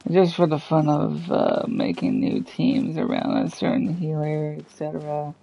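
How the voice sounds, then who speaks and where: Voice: medium pitch, huge pitch swings, measured pace, gravelly, mellow, slight background noise. A gaming streamer giving casual, unscripted commentary, hesitant and trailing off while mumbling to themselves. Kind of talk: casual gameplay commentary, thinking aloud.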